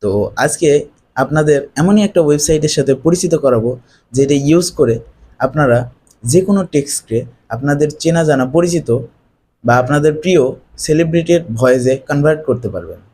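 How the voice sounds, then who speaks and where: A man's voice: fairly high pitch, a little fast, a little mellow, husky. A man narrating a step-by-step screen tutorial as its host.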